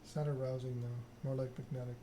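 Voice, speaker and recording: A man's voice: mid-range voice, little pitch movement, fairly quick, background noise. A man doing an ASMR read-aloud of a narrative game, occasionally stumbling over the written text. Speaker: gaming channel host.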